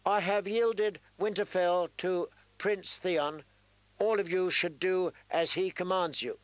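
A man's voice: animated, a little slow, no echo. A man voicing a frightened young boy lord who tries to give commands sternly. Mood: strained. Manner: strained, higher pitched.

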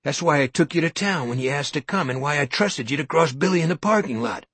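Man as forceful determined guy, husky, urgent. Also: a little high, very animated, a little slow, dry recording, heavily acted. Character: determined, forceful grown man confronting a coward.

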